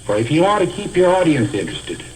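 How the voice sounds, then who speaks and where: A man giving a scripted instructional lecture as a speech teacher, in a deliberate, carefully enunciated, didactic manner. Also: fairly high pitch, big pitch swings, poor audio. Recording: hissy old educational film soundtrack.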